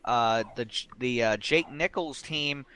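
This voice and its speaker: Bright, clear-voiced male race commentator. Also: medium pitch, a little slow. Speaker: sim racing commentator. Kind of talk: live sports commentary with casual banter.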